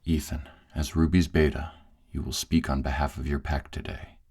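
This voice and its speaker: Man as clan elder official, extremely low voice, formal, measured, authoritative, audio roleplay ceremony. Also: level tone, a little mellow, slightly rough. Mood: formal, commanding.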